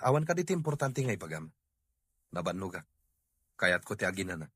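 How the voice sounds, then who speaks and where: Guy acting frustrated filipino husband, husky but bright, family drama. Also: deep voice, big pitch swings, moderate pace, no room sound, studio quality, full character voice.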